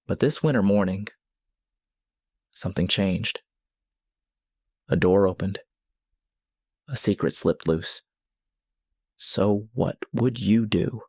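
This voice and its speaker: A man narrating a scripted suspense story in a brooding, deliberate manner, with short dramatic phrases. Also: fairly low pitch, darkish tone, breathy edge, no room sound, studio quality.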